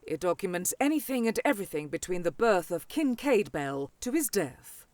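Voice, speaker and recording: A woman's voice: on the low side, sing-song, moderate pace, a little mellow, no room sound. A woman playing a proud older dragonfolk scholar in a fantasy audio drama, grandly formal and haughty. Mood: stately, helpful.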